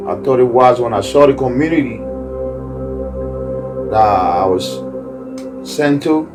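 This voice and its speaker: A man from Liberia, telling his story earnestly and haltingly, in a preacher-like way. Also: mid-range voice. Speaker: community activist and musician. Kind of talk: earnest personal testimony. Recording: distant voice over loud music.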